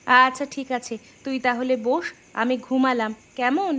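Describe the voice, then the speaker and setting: An actress playing a tired, resigned middle-aged mother soothing her grown son in an animated horror story. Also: high-pitched, moderate pace, background noise, close to an everyday voice.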